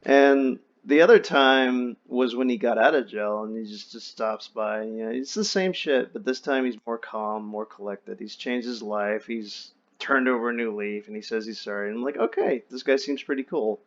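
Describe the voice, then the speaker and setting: A man's voice: mid-range voice, moderate pace, few pauses. A young man casually telling personal stories in a candid, wry, slangy and profane way over an online voice chat. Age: young adult. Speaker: friend telling his life story. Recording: dull lo-fi voice chat.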